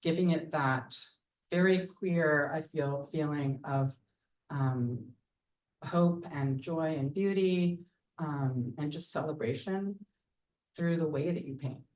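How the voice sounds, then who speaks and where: Woman, low register, artist hosting a live talk; halting, upbeat; off-mic sound. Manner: hesitant, many ums, warm and enthusiastic. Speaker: artist leading a public talk. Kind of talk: casual public conversation. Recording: voice heard from far off the mic.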